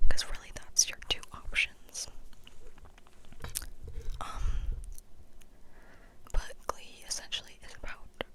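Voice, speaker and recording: A woman's voice: takes it slowly, long pauses. A woman whispering breathily in a playful, mock-serious ASMR monologue, casual and rambling, often checking in with the listener. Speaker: ASMR video host.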